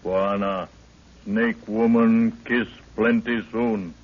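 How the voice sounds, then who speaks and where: A tinny vintage radio recording of a loyal African spearman. He speaks low, in slow, clipped phrases of pidgin English, as in a 1930s jungle serial. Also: steady tone. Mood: ominous. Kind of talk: 1930s radio adventure acting.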